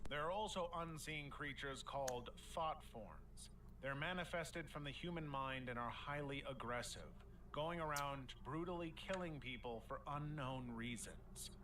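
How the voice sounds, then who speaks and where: A man's voice: medium pitch, unhurried, hardly any pauses, distant mic, noisy background. A man reading scripted narration for a horror explainer video.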